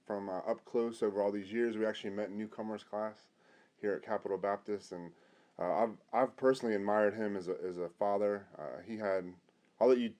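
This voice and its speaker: Guy, middle aged pastor host, deep-ish relaxed voice, kinda halting and chatty. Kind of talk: conversational interview.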